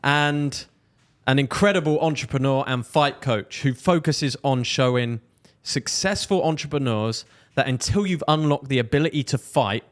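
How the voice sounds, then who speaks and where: Upbeat male podcast host. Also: mid-range voice. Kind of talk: podcast introduction and questions.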